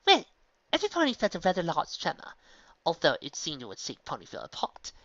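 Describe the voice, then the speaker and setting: A woman's voice: lowish voice, huge pitch swings, fast talker, fairly bright tone, no room sound, highs cut off, pitched above the performer's own voice, exaggerated voice. A woman voicing an elegant, fussy female unicorn who talks in a refined, dramatic way.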